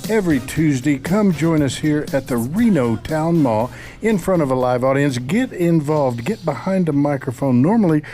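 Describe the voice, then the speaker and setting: A man's voice: a little high, expressive, moderate pace, dark tone, soft background music. A man hosting a radio talk show, doing enthusiastic host patter in front of a live audience. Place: studio set in a mall before an audience.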